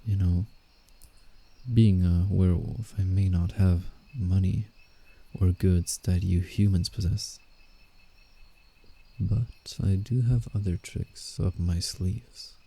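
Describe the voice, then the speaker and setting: Man voicing a very dark, deep, guarded werewolf. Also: fairly even intonation, slow pace, creaky, husky, full character voice. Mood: coaxing, sly. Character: guarded, teasing male werewolf, secretly soft-hearted forest guardian. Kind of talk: roleplay ASMR, scripted character acting with inner monologue.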